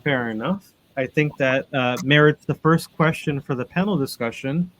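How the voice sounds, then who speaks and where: A man's voice: medium pitch, normal speed, fairly bright tone, rough recording. A man hosting a webinar panel, reading out guest introductions over a video call with frequent ums and uhs. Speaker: panel moderator.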